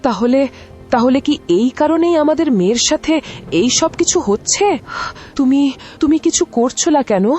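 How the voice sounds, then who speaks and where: A woman's voice: sits high, lively intonation, measured pace, warm tone, dull audio, soft background music. A woman voicing a grieving village mother who begs tearfully for her daughter. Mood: pleading. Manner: tearful, begging.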